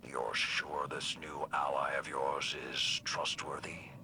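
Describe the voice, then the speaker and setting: An actor playing a stern, wary older military commander in a video game, measured, solemn and authoritative. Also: male voice, extremely low pitch, flat delivery, vocal fry, exaggerated voice. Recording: noisy game ambience behind.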